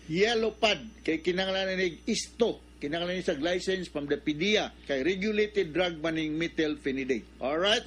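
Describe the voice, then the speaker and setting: A man's voice: high voice, fairly bright tone, slight background noise. A man hosting a radio program, giving advice and signing off in an announcer's manner. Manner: informative, announcer-like. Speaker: radio host.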